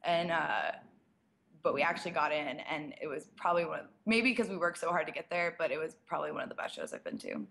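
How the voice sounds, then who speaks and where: A young woman with a slight rasp, answering fan questions in a loose, chatty way. She fills her talk with "like" and small laughs, and sits a touch lower in pitch than most women. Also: sing-song, quick pace, a bit bright. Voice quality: slightly raspy. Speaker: DJ and producer. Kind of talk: casual live-stream Q&A, reading viewer questions.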